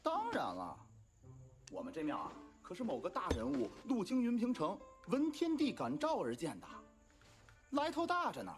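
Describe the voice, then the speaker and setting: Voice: mid-range voice, huge pitch swings, moderate pace, faint music behind. A gossipy, salesy innkeeper. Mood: chatty. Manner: chatty, salesy. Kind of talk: Chinese animated series dub.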